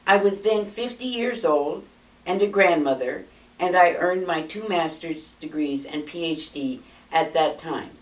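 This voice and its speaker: An American woman in her eighties, with a fairly low voice, reads her life story from notes in a measured, unhurried manner. She sits in a small room, and the camera's mic is some distance away, so her voice sounds roomy and distant. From United States. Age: 80s. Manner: measured, steady reading from notes. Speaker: memoir narrator. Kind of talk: reading a prepared life story aloud. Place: small indoor room, seated.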